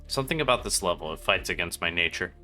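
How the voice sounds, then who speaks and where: A man's voice: low-pitched, lively intonation, fast, sharp tone, faint music behind. A man streaming a video game with casual, joking, slangy commentary.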